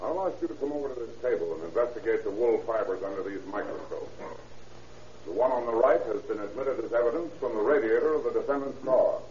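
A man playing a courteous, methodical defense attorney in an old-time radio courtroom drama, speaking formally and deliberately. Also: medium pitch, darkish tone, natural voice. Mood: formal questioning. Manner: formal, deliberate, politely lawyerly. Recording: echoey, muffled old radio recording, faint hiss.

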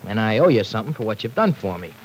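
A tough, slangy young street kid. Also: male voice, on the low side, sing-song, rapid-fire, a little hiss or hum, low-quality recording. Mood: bitter. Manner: slangy tough-guy talk, sarcastic and bitter. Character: tough streetwise young man from a city gang, sore and defensive. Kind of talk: 1940s radio acting.

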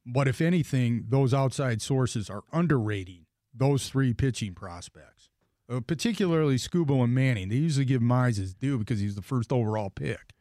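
A man's voice: mid-range voice, expressive, moderate pace, mellow, no room sound, studio quality. A man hosting a sports talk radio show, rambling and emphatic, often breaking off and restarting his sentences. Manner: rambling, self-interrupting, emphatic.

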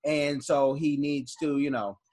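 Husky, higher-voiced man. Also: steady tone, a little slow, darkish tone. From United States. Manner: storytelling, laughs between remarks. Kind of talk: casual conversation.

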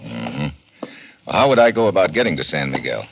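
A man's voice: rumbling low, sing-song, brisk, gravelly, heavily acted. An American man playing a tough, terse government agent in a clipped, businesslike 1940s radio drama style. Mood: probing, firm. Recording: noisy old radio recording.

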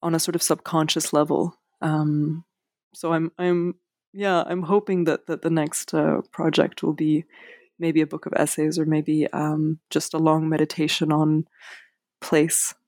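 A woman's voice: deep voice, flat delivery, measured pace, a little mellow, no echo, studio quality. A woman author speaking in an interview, hesitant, with many fillers and restarts. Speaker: author guest. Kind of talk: casual interview.

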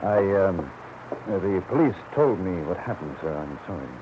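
Low male voice, solemn attendant, polite, halting, deferential, old radio drama, muffled noisy recording. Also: sing-song. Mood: solemn, apologetic. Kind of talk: classic radio drama acting.

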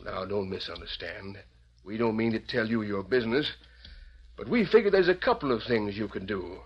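An American actor playing an earnest, resolute old settler leader with folksy, measured speech in a radio Western. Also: male voice, fairly low pitch, lively intonation, breathy edge. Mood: earnest, reasoning. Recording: muffled vintage radio recording.

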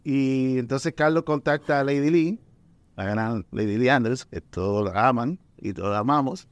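A man's voice: mid-range voice, normal speed, no room sound, lo-fi sound. A man who grew up between two Caribbean islands, chatting casually about poetry gatherings, often repeating words as he thinks. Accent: Caribbean Spanish. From Puerto Rico and Dominican Republic. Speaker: poet and event organizer. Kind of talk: casual conversation.

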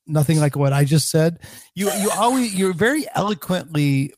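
A man's voice: on the high side, lively intonation, normal speed, a little mellow. A man hosting a podcast in casual chat, rambling and poking fun at himself. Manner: rambling, self-mocking. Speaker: podcast host.

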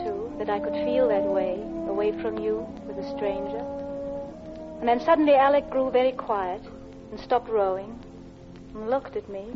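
An actress plays a married Englishwoman in a vintage radio romance, earnest and confiding in a fairly high voice. She is hesitant, guilt-ridden and tender. The old 1940s recording is dull and narrow. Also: close to an everyday voice. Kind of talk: 1940s radio drama acting.

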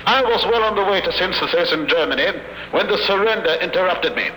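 Brief: a sneering mad-scientist villain, high and sharp, formal and mocking, 1940s radio sound. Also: male voice, fast talker, reverberant, big-room sound, a little hiss or hum, low-quality recording. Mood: lecturing. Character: gloating scientist villain, male, middle-aged, cold.

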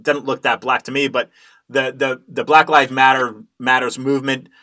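A man hosting an interview, halting and stumbling through his questions. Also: medium pitch, little pitch movement, bright, forceful tone. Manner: halting, many restarts and fillers. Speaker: host.

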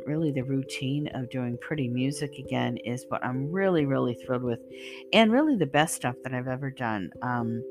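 A woman singer and channel host, hoarse and losing her voice, talking casually and rambling with many fillers and restarts. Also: a bass voice. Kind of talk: casual spoken monologue to listeners.

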